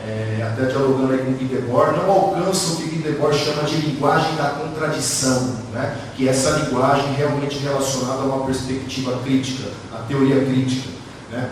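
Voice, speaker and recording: A Brazilian man giving an academic lecture at a conference panel in a discursive manner, often adding short tag questions. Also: mid-range voice. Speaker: academic speaker. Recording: very echoey hall, distant mic, faint noise.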